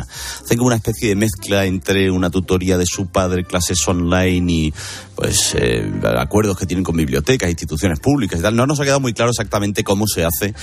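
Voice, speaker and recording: A man from Spain hosting a radio show, talking quickly and jokingly with callers and colleagues. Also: low voice. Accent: Spanish from Spain. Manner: fast, chatty, joking. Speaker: radio host. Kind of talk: lively radio talk with callers.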